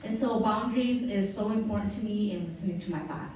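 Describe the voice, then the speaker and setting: A woman's voice: medium pitch, fast, noisy background. A woman on a panel speaking in a reflective, conversational way. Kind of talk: panel discussion. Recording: dull echoey room, mic far off.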